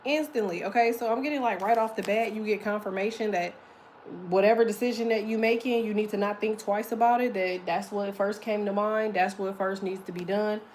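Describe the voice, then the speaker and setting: Chatty deep-voiced woman reading tarot. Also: steady tone, a little fast, darkish tone, a little hiss or hum. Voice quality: deep, slightly muffled voice. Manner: chatty, loose, swears casually. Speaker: tarot reader.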